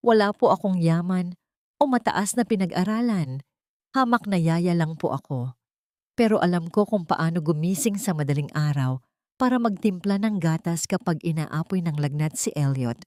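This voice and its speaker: A woman voicing a humble but brave, devoted nanny in an audio drama, speaking clearly, politely and with feeling. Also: fairly low pitch, moderate pace, a little mellow, no room sound, studio quality, close to an everyday voice. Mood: heartfelt, brave. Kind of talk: Tagalog audio drama acting.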